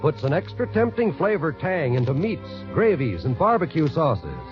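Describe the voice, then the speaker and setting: A male announcer reading a scripted 1940s radio commercial in a bright, upbeat selling tone. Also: on the high side, huge pitch swings, normal speed, over music. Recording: dull 1940s radio broadcast.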